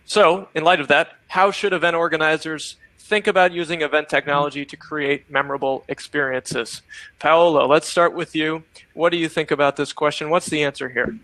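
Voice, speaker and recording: Panel host, man, upbeat, steers with questions, bright tense voice, dry close sound. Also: on the high side, fairly even intonation, moderate pace, no room sound, low-quality recording. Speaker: panel moderator. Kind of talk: hosting a panel discussion.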